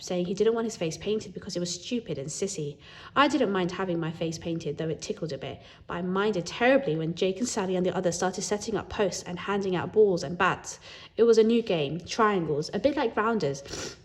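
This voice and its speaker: A woman reading a children's book aloud in an animated way, as a storyteller who acts out the dialogue. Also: fairly low pitch, a little fast.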